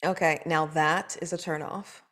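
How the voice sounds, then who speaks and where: A woman reading a dry, sardonic young writer in a comedic table read, with deadpan, teasing delivery. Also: low-pitched, moderate pace.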